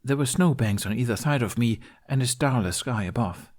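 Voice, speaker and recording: A man's voice: medium pitch, animated, normal speed, a little mellow, lightly acted. A man voicing an earnest, nervous young male apprentice recounting a vision.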